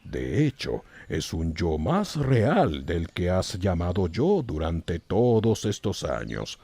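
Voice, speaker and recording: Slow, gravelly old wizard. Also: male voice, sits low, big pitch swings, dark tone, no echo, full character voice. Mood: gently instructive. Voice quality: gravelly, husky. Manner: slow, measured, sententious. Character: wise, calm old male wizard mentor, indulgent. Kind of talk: audiobook character acting.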